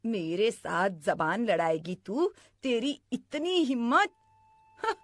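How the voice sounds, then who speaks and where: Woman acting as cruel stepmother, cartoon story, calculating, slow-paced, dry recording. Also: on the high side, expressive, no room sound. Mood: angry, scolding. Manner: scolding, plotting, falsely sweet. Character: scheming cruel stepmother who feigns sweetness. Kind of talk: animated story character acting.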